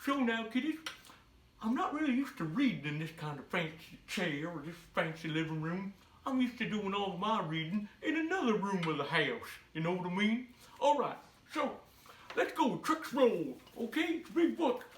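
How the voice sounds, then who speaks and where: A man playing a folksy, goofy country trucker with a husky voice, performing a comic read-aloud for children. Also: sits high, big pitch swings, normal speed, fairly bright tone, full character voice. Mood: folksy, joking. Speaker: storybook read-aloud host.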